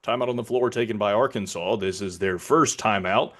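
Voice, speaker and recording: An American man voicing a basketball arena announcer, controlled and formal, with clipped calls and deliberately delivered names. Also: fairly low pitch, fairly bright tone. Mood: neutral, even. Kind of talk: public address sports announcing demonstration.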